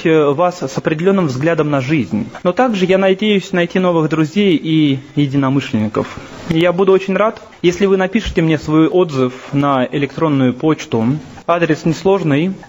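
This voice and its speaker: A man's voice: fairly high pitch, darkish tone, a little hiss or hum. Earnest man in his thirties lecturing. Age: 30s. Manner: earnest, measured and personal. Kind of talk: recorded spiritual lecture. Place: seated in a temple. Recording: dull lo-fi recording with faint ambience.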